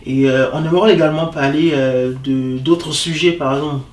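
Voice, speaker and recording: Young man, association president from Côte d'Ivoire, a little higher-voiced, earnest and hesitant, heard in an echoey room from some distance. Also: fairly even intonation. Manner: earnest, halting with many fillers. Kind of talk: interview answers.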